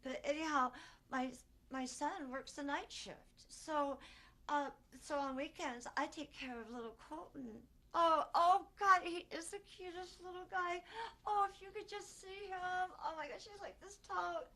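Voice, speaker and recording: An actress performing a stage monologue as a distraught older grandmother who protests her innocence in halting, repetitive speech. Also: very high-pitched, bright, forceful tone, exaggerated voice. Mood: rambling, fond. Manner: halting, stammering, repeating herself.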